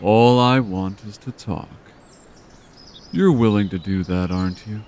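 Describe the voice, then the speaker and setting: A man voicing a calm, manipulative villain leader who taunts in an unhurried, smooth way in an audio drama. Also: fairly low pitch, natural voice. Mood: taunting. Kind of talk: amateur audio drama acting.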